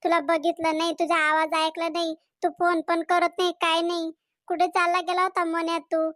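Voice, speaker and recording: A lovesick young village woman from a cartoon comedy, pleading and reproachful toward her sweetheart, voiced by a woman. Her voice sits very high, and the recording is dry and close. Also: completely flat delivery, normal speed, a bit bright, dry recording. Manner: pleading, emotional.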